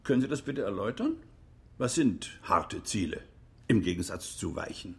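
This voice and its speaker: Relentless prosecutor, voice swinging with creak. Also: male voice, lowish voice, big pitch swings, moderate pace. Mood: probing. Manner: pointed, insistent cross-examining. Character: relentless, accusing male prosecutor at a war crimes trial. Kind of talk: German radio drama acting.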